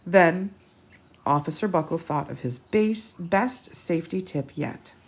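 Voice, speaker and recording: Low-pitched woman reading aloud to children. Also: measured pace, slightly rough. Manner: animated, teacherly, dramatic. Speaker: children's storytime host. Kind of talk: expressive picture-book read-aloud with asides to children.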